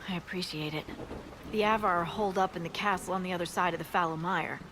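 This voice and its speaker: A woman's voice: lowish voice, steady tone, fairly bright tone, close to an everyday voice, low-quality recording. An actress voicing a blunt, practical woman scout in a video game. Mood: briefing. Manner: blunt, brisk. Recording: game audio with ambient noise.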